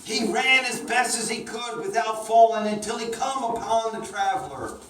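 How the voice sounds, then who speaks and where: Woman reading a kids story, slow and dramatic, echoey and noisy, mic far away. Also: fairly high pitch. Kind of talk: scripted children's story narration. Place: indoor room, picked up from a distance. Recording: distant echoey room, clear noise.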